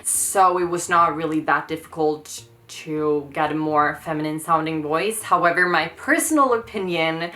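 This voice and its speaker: Swedish woman in her 30s doing a q&a vlog, low voice, bright tone, lots of ums and trailing off. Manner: hesitant, trailing off, many likes and ums. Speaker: YouTuber answering viewer questions. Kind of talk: casual Q&A vlog.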